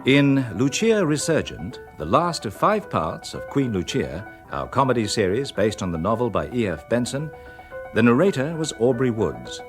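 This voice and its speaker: A man announces radio credits from a script. His English RP voice sits at a medium pitch with very animated rises and falls, and piano music plays clearly behind him. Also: moderate pace. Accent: English Received Pronunciation.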